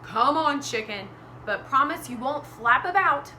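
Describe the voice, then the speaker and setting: Storybook grandpa boatman, loud, agreeable but firm, performed by a woman. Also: high voice, expressive, measured pace, a little hiss or hum, full character voice.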